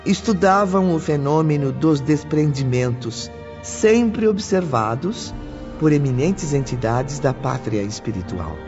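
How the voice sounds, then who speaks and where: A man's voice: on the high side, animated, a little slow. A man reading scripted third-person audiobook narration as the storyteller. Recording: dull sound, music underneath. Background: music.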